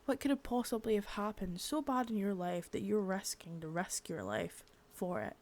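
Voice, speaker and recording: A young woman doing an ASMR roleplay as a cold, contemptuous female boss of a drug ring, menacing and swearing, soft-spoken with bits of whisper. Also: mid-range voice, animated, normal speed, slight background noise, natural voice. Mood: cold contempt. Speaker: ASMR creator.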